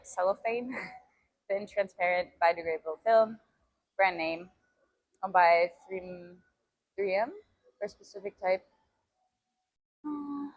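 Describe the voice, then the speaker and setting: A woman's voice: mid-range voice, rough recording. A woman whose first language is Albanian, chatting casually on a fitness live stream from a gym and laughing often as she reads comments. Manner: laughs often, reads chat aloud between sets. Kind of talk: casual live-stream chat while working out.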